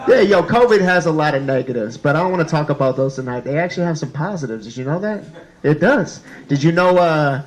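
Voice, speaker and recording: Male comedian, fairly high-pitched, colloquial stand-up delivery peppered with check-in questions. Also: lively intonation, poor audio. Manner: colloquial, asks the listeners rapid check-in questions.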